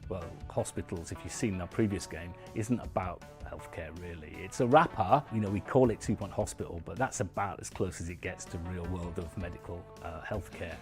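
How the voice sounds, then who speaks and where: A man's voice: low voice, a little fast, hardly any pauses, darkish tone, soft background music. A man, a game developer speaking casually in a promotional interview.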